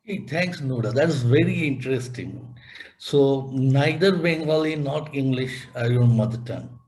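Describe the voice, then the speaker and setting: A middle-aged man interviewing a guest on a video call, halting, with many ums and repeated words. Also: medium pitch, a little mellow. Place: small indoor room. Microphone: webcam on video call.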